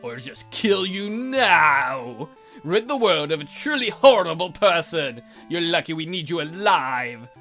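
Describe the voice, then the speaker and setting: A man voicing an angry masked vigilante from a visual novel, making crude, forceful threats. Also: on the high side, very animated, unhurried, heavily acted. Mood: menacing, angry. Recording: background music under voice.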